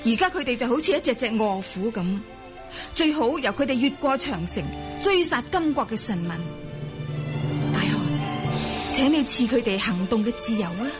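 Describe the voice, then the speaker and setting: A woman's voice: on the high side, lively intonation, normal speed, music in the background, natural voice. Woman acting a proud, resolute Mongol queen. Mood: urging, fierce. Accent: Cantonese. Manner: formal, dramatic, insistent. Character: Börte, khan's proud, resolute middle-aged wife and mother. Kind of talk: Cantonese radio drama acting.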